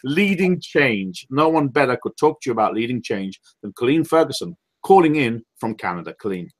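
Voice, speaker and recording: A man's voice: mid-range voice, expressive, fairly quick. A man hosting a team call, giving a motivational speech with emphatic, rising build-ups and acted quotes of other people.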